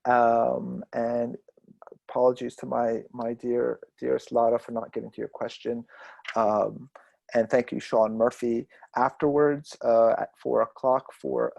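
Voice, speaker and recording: A man's voice: medium pitch, creaky, darkish tone, dry recording. A man hosting and moderating a literary conversation over a video call, enthusiastic but rambling, with many fillers. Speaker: host and moderator.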